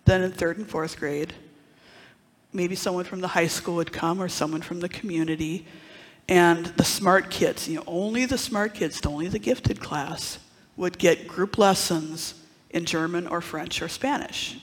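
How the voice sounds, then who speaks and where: A preacher from northern Wisconsin delivers a sermon at an unhurried pace, lingering on key phrases. The voice alternates between full projection and near-whispered asides. A long reverb trails after every line, as in a large church sanctuary. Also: mid-range voice. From northern Wisconsin, USA. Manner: deliberate, with dramatic pauses and hushed asides.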